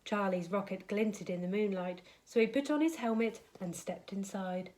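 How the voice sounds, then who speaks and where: A woman's voice: medium pitch, normal speed, a little mellow, low-quality recording. A woman reading a children's bedtime story aloud, expressively dramatising it for young listeners.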